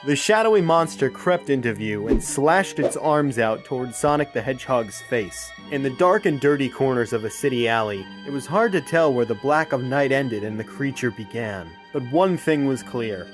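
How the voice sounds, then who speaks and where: Casting: storybook narrator, medium-pitched, dramatic scripted read, prominent music bed. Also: animated, normal speed, hardly any pauses.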